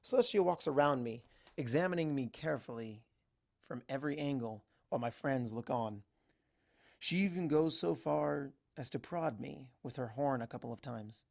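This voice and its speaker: A woman's voice: rumbling low, normal speed, gravelly, a little mellow. A woman reading a first-person story aloud as an amateur narrator. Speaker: amateur fan fiction narrator.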